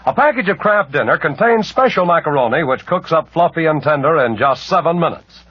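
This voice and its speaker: Lively swooping American radio announcer, male. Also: on the high side, a little fast, breathy edge, no echo. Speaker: commercial announcer. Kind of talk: 1940s radio ad read. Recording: muffled 1940s radio recording.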